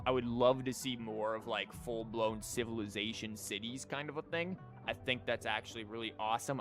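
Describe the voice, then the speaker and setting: A man's voice: mid-range voice, fairly bright tone. A man giving casual livestream commentary on a game, rambling informally and thinking aloud with false starts. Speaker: video game streamer.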